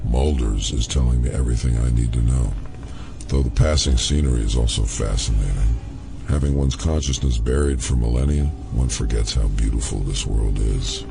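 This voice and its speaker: A man's voice: a bass voice, moderate pace, creaky, over music. An actor voicing an ancient alien consciousness in a man's body, ominous, knowing, solemn and formal, in an audio drama. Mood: knowing, serene.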